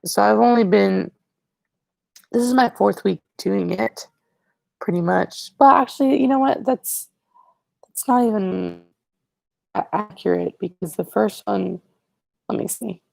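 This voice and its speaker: A teenage boy hosting a casual livestream, rambling and correcting himself as he chats to viewers, his voice raspy today. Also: high-pitched, measured pace, long pauses, dry recording. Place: living room, indoors. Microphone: earbud headset mic, webcam.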